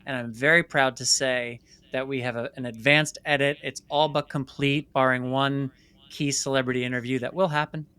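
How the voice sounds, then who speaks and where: A man with a crisp, resonant voice and a bright, forward tone speaks at a medium pitch. He talks candidly, like a guest telling stories in an interview. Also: fairly even intonation, moderate pace, dry recording. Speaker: guest, filmmaker and company CEO.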